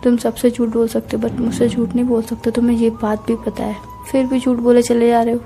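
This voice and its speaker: A young woman talks on a recorded, emotional phone call. Her voice is fairly high and mellow, with a plaintive manner and little movement in pitch. Music plays behind her. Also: flat delivery, fairly quick. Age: young adult. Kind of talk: emotional private phone conversation.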